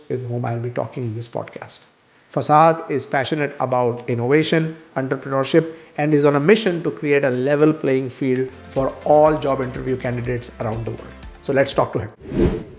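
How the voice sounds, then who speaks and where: A man's voice: fairly high pitch, creaky. A man hosting a podcast as career coach, greeting viewers and asking his guest questions with small fillers. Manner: friendly, asks questions with fillers. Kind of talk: podcast interview.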